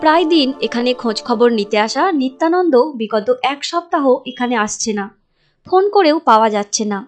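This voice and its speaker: A woman narrating a scripted moral tale for an animated story. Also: high voice, brisk, soft background music.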